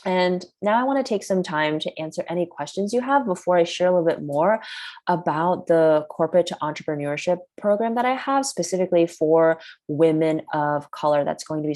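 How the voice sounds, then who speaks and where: Woman hosting a workshop, first language chinese, low mellow voice, keeps saying like. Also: moderate pace. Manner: chatty, heavy use of "like". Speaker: workshop host. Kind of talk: casual workshop talk.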